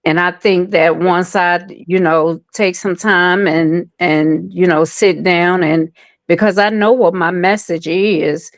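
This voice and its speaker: A woman's voice: fairly low pitch, fairly even intonation, slow pace, dry recording, clean studio sound. A woman taking part in a group discussion, sharing personal reflections in a conversational way. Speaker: participant in a group coaching discussion.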